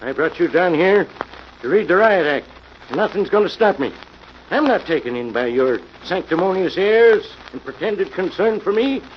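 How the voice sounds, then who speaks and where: An actor playing an irascible, suspicious old sea captain in a radio mystery, domineering and scolding. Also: male voice, high-pitched, expressive, normal speed. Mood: angry, commanding. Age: older. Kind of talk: 1940s radio mystery acting. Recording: dull, noisy old radio recording.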